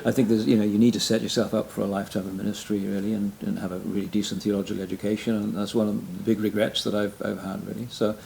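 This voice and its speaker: Older Welsh man, gravelly low voice, faint rumble behind, relaxed interview talk. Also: quick pace, hardly any pauses. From Wales. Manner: frequent backchannel mm and yeah, soft self-deprecating laughs. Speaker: retired pastor, guest. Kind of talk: reflective interview conversation.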